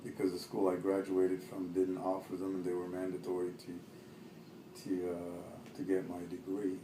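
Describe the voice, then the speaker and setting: A Lebanese-born man in his fifties, Arabic his first language, answering an oral history interview in a halting way with many fillers and restarts. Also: low voice, completely flat delivery, echoey room, background noise.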